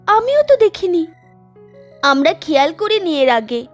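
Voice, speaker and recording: A woman's voice: very high voice, very animated, normal speed. A woman voicing an anxious young village pupil in a horror cartoon. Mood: uneasy, curious. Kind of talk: dubbed horror cartoon dialogue. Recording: music under the voice.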